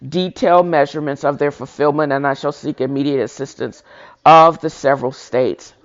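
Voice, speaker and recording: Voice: mid-range voice, fairly even intonation, fairly quick. A person reading a historical speech aloud with informal commentary, stumbling now and then and correcting themselves, in a dull, lo-fi recording. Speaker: host of a reading show. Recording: dull lo-fi sound.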